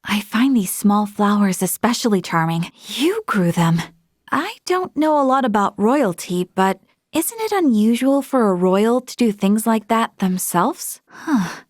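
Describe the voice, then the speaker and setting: A woman's voice: mid-range voice, lively intonation, a little slow, no echo, clean studio sound. An actress voicing an earnest, modest young woman, a space officer from a prestigious family, in a video game, speaking politely and sincerely. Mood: gentle admiration. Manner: polite, self-effacing, sincere.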